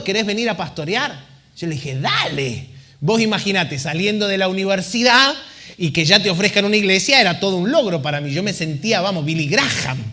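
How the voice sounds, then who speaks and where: A man's voice: high voice, bright, forceful tone. A man, telling his testimony to a church congregation in a joking, chatty way. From Argentina. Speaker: missionary guest speaker.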